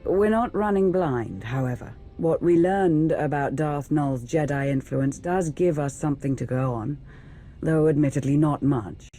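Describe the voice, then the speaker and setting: Man as pragmatic briefing officer, lighter pitch, soft dark tone, serious, game cutscene. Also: soft background music, close to an everyday voice. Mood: sober, determined. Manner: measured, serious. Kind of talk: video game cutscene acting.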